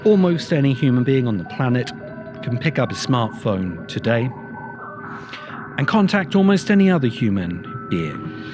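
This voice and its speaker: A man hosting a podcast, delivering a reflective monologue in a grave, deliberate way with emphatic phrasing. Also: medium pitch, very animated, over music. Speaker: podcast host. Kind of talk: reflective monologue, video essay.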